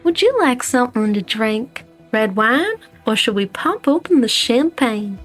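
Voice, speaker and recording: A woman's voice: on the high side, animated, unhurried, fairly bright tone. A woman voicing a composed, wry company director, coolly evasive and defiant, in a game fan dub. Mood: wry, teasing. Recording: music behind the voice.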